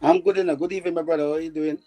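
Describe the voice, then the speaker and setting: A Jamaican man with a very dark, mellow voice answers interview questions, stumbling over repeated words as he recalls. Faint music runs underneath. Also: on the high side, fairly even intonation, very fast. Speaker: former footballer guest.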